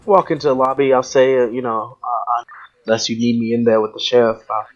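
A man's voice: mid-range voice, fairly even intonation, fairly quick. A man taking part in a tabletop role-playing game, improvising his moves in casual talk. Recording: dull, noisy hobby microphone.

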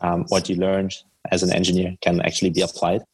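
A man's voice: deep voice, level tone, brisk, a little mellow, breathy edge. A man from Germany with a German accent, answering conversationally as a guest in a podcast interview. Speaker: podcast guest, startup founder.

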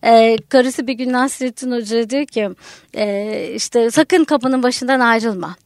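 A woman guest on a radio show, a folklore researcher explaining ideas in a lively way with anecdotes. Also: fairly high pitch, measured pace, no room sound, clean studio sound. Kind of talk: radio conversation. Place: radio studio.

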